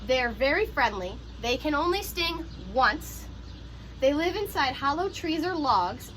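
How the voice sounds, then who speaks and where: High-pitched woman reading to young children. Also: animated, measured pace, fairly bright tone, a little hiss or hum. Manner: simple, child-directed explaining. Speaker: teacher reading a children's book aloud. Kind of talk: picture-book read-aloud with simple explanations for young children.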